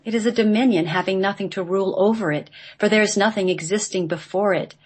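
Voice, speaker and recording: A woman's voice: mid-range voice, fast talker, slightly rough. A woman reading a scripted mystical text aloud in a solemn recitation, as a guest on a radio show.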